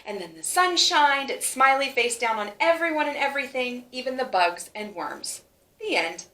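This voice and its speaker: A woman reading a children's story aloud in an animated way, stretching words for emphasis. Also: high-pitched, moderate pace. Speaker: storybook reader. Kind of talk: expressive children's read-aloud. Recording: roomy, echoing sound.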